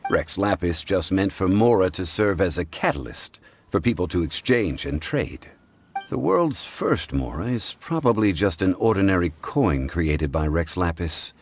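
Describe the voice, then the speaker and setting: An actor playing a calm, learned male consultant in a game cutscene, deep and courteous, correcting others in an unhurried, polite way. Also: heavily acted. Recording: game cutscene with faint score.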